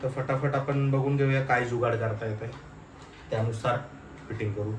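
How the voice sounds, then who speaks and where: A man's voice: medium pitch, steady tone, moderate pace. Male vlogger, informal thinking-aloud delivery, roomy and noisy recording. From Maharashtra, India. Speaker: motovlogger. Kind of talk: casual hands-on unboxing and installation vlog. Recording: roomy, noisy, distant microphone.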